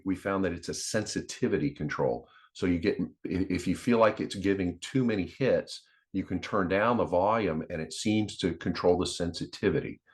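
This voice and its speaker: Very low-pitched man, halting explainer. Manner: halting, repeats words while explaining; instructional, addressing viewers. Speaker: presenter. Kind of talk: informal device demonstration.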